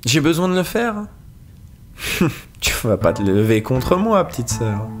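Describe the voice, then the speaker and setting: A man's voice: mid-range voice, sing-song, measured pace, over music. A man playing a smug, scheming elder brother in an audio comedy drama, taunting and sardonic as he talks. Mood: menacing.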